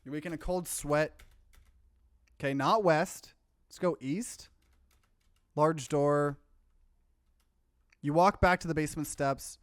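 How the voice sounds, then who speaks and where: Higher-voiced man doing a let's play. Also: steady tone, takes it slowly, long pauses, no room sound. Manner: mixes plain narration with offhand asides. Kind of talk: casual let's play, reading game text aloud with commentary.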